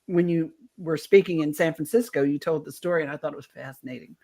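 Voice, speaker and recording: A woman's voice: low-pitched, quick pace, warm tone. A woman interviewing a guest casually as the host of a talk radio show.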